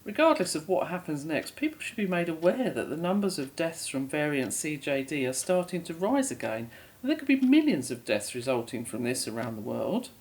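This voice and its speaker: A woman's voice: low-pitched, big pitch swings, fairly quick, a little mellow. An actress playing a weary, remorseful middle-aged mother in an audio drama, speaking in a grave, resigned way.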